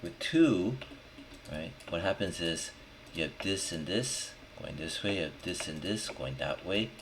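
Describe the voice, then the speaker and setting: Male tutorial instructor, extremely low voice, unhurried and informal; noisy recording with keyboard clicks. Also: animated. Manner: informal, thinking aloud, checking in with "right?". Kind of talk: casual screen-recorded software tutorial, talking while working. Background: background noise, typing and clicking.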